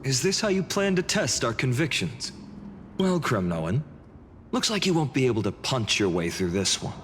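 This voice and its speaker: An earnest, humble young soldier, played by an actor, with a mid-pitched and very expressive voice. He sounds questioning and a little wry, in a reverberant hall with faint music. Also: moderate pace, a little hiss or hum, natural voice. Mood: challenging, wry.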